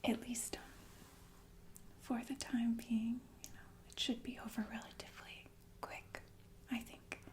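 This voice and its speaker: A woman playing a sinister, falsely soothing killer nurse in an ASMR roleplay, speaking in a genteel, deliberate breathy whisper with murmured asides. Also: a little high, little pitch movement, lightly acted. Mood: calmly menacing. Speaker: ASMR performer.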